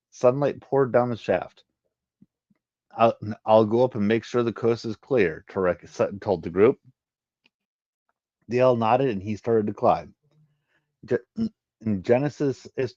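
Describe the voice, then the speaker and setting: A man reading a sci-fi adventure story aloud in a halting way, stumbling and repeating words. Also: medium pitch, darkish tone, no echo, muffled recording. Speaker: reader of a web story, channel host.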